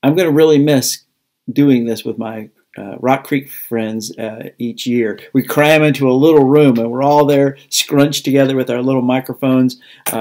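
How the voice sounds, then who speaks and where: A man speaks informally to close a program. His voice is mid-pitched, and he talks in a halting, sincere way, like a school staff member addressing families. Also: a little mellow. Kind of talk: informal spoken sign-off.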